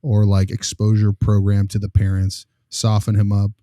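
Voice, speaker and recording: A man's voice: on the low side, completely flat delivery, moderate pace, mellow, dry recording, clean studio sound. A man hosting a casual case discussion, informal and blunt, pressing the others with questions. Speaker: discussion host. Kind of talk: casual panel discussion of clinical cases.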